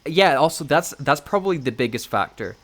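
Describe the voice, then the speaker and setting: A man co-hosting a podcast in casual fan chat, excitable and self-deprecating, with some swearing. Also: fairly high pitch, brisk. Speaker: podcast co-host.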